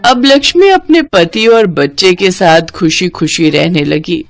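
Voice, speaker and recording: Expressive woman narrator, lively pitch. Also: a little slow, no echo. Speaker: story narrator. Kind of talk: scripted narration of a cartoon story. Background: faint music.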